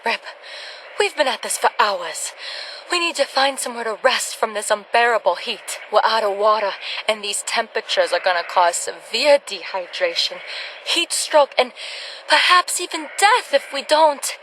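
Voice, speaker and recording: An actress playing a sensible, long-suffering field nurse in a radio adventure, dry, sarcastic and exasperated. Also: female voice, fairly high pitch, animated, normal speed, a bit bright. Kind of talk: radio play acting. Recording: slightly distant, faint background noise.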